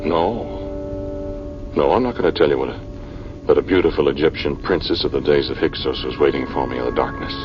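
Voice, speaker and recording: An actor playing a haunted archaeologist in a 1940s radio drama, telling his eerie story in a brooding, deliberate, dramatic manner. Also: rumbling low. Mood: tense, eerie. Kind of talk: 1940s radio acting, first-person narration. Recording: muffled vintage radio recording, music underneath.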